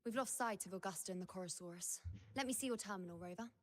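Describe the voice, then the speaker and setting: A woman's voice: medium pitch, quick pace, close to an everyday voice. A woman voicing a confident, shrewd and playful huntress in a video game, with a teasing, self-assured delivery. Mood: shrewd, focused. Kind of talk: scripted video game voice acting.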